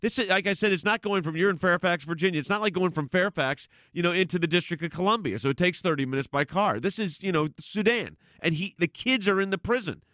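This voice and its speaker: Smooth-voiced male radio host, dry studio. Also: high-pitched, fast, few pauses, fairly bright tone, no echo. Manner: emphatic, rambling, frequent restarts. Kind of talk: talk radio hosting.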